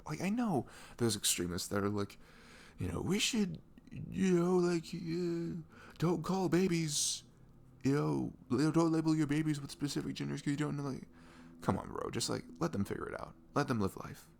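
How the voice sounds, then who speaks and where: A young man playing a sweet, caring boyfriend in an intimate ASMR roleplay, rambling casually in slangy, animated speech. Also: on the high side, normal speed, creaky. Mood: animated, exasperated. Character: sweet, caring, chatty boyfriend on a morning after. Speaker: audio roleplay voice actor.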